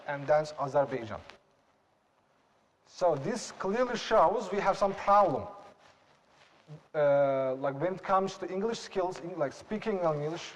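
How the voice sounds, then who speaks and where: A young man with a Turkish accent giving a slow, deliberate classroom presentation and asking rhetorical questions. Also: a little high, in a large hall, a little hiss or hum, rough recording. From Turkey.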